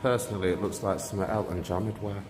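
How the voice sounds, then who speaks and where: A man's voice: on the low side, steady tone, fast, music in the background. Chatty man in an echoey museum. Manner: chatty, reads exhibit labels aloud. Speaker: vlog host. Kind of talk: casual museum walkthrough. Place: large indoor museum. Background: museum ambience.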